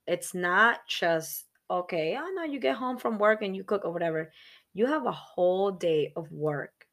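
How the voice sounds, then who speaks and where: Low-voiced woman in her thirties chatting. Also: expressive, moderate pace, a bit bright. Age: 30s. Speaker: podcast co-host. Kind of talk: casual chat.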